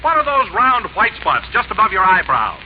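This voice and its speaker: A hearty, easygoing man with a high voice plays straight man and sidekick, laughing readily. His pitch rises and falls with lively energy. The sound is dull and lo-fi, an old radio broadcast with faint hiss. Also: moderate pace. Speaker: announcer and comic sidekick. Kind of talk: 1940s radio comedy.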